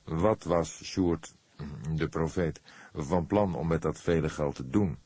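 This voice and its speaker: Audiobook role: seasoned old detective, read by a male narrator, lowish mellow voice, slightly husky, measured and probing. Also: dry recording, exaggerated voice.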